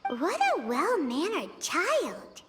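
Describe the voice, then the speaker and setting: A woman voicing a tiny, excitable childlike fairy companion in an anime game, squeaky and exclamatory. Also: takes it slowly, full character voice. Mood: friendly. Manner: exclamatory, speaks of herself in third person. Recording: loud music under the voice.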